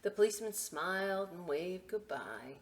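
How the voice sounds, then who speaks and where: A woman hosting a children's story time and craft demonstration, chatting to young listeners in a playful, expressive, informal way with asides. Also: lowish voice, normal speed. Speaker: library story time host reading picture books and showing a craft.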